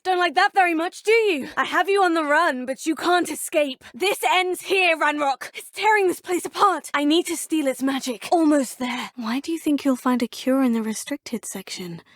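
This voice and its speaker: A woman's voice: high voice, moderate pace, hardly any pauses, fairly bright tone, no room sound, studio quality. A young woman voicing a game's player character, earnest and determined. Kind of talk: game voice acting. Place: recording studio.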